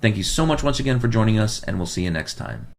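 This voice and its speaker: Low, gravelly man losing his voice. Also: expressive, fast talker. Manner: earnest, repeats key points for emphasis. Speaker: financial advisor and podcast host. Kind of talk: podcast monologue giving financial advice.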